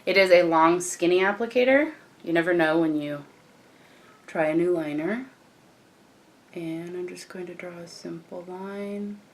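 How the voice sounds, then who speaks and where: A young woman with a croaky morning voice, chatting casually as she walks through a makeup tutorial. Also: lowish voice, unhurried, pauses often, a little hiss or hum. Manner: chatty, relaxed, frequent fillers and restarts. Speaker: beauty vlogger.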